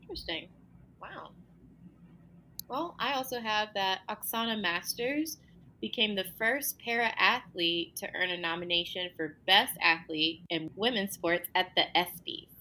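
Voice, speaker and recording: A woman co-hosting a podcast in a casual, lively way, agreeing readily and telling small stories. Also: mid-range voice, low-quality recording. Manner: lively, quick to laugh and agree. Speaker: podcast co-host.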